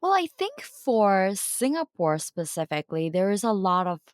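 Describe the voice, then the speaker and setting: A woman from Japan answering interview questions in a calm, measured way. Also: mid-range voice, no echo, clean studio sound. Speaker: social enterprise founder, guest.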